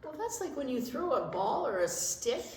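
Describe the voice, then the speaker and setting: A woman reading a picture book aloud to young children as a storytime teacher, expressive and emphatic, explaining things simply. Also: high-pitched, moderate pace. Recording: roomy echo with faint noise.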